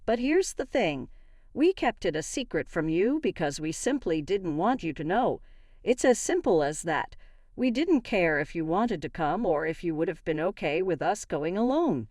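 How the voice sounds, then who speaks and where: Woman voicing a smug, spiteful mother-in-law, animated delivery. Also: fairly low pitch, normal speed, no room sound, studio quality. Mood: cold dismissive. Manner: haughty, taunting. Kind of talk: acted dialogue in a narrated story.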